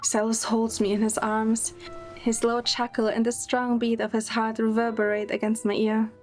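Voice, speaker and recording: A woman's voice: fairly high pitch, completely flat delivery, moderate pace, darkish tone, faint music behind. A woman narrating a scripted first-person romantic story in an audio drama.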